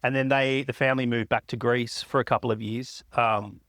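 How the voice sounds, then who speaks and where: An Australian man telling a family story in a casual podcast chat, with quick asides and restarts. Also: mid-range voice, steady tone, a bit bright, dry recording, clean studio sound. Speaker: podcast guest.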